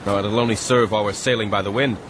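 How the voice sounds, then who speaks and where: An actor playing an earnest, considerate gentleman castaway in a radio drama, with a mild, hesitant, courteous manner. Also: lowish voice, fairly even intonation, fast talker, lightly acted. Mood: practical. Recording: noisy boat and water ambience behind.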